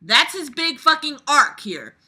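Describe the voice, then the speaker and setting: Bright-voiced, exasperated reader ranting about a book. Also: high-pitched, unhurried. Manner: exasperated, sarcastic, profane; animated, breaks into mocking imitations. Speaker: host reading a book aloud and reacting to it. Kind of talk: casual reading aloud with ranting commentary.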